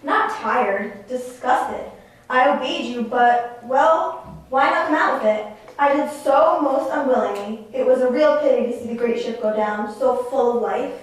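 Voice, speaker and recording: A woman acts a defiant spirit servant in a stage puppet play, yearning to be free. The voice is high and theatrical, echoing heavily from a distant microphone. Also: measured pace. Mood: disgusted, reluctant. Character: weary, reluctant spirit servant longing for freedom. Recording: very echoey room, distant microphone.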